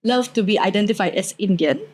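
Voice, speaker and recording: A woman's voice: medium pitch, a little fast, a little mellow, breathy edge. A woman in her twenties with a Singaporean accent, chatting casually as a talk show guest. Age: 20s. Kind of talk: casual chat.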